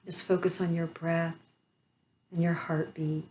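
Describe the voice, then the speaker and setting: A woman leading a guided meditation, speaking softly and soothingly with long pauses. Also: lowish voice, level tone, a little hiss or hum.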